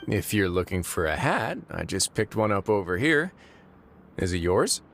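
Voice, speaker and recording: A man voicing a calm, easygoing prison warden with wry authority in a video game, music behind him. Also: deep voice, a little hiss or hum, heavily acted. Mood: relaxed. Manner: measured, casual, wry. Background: clear background music.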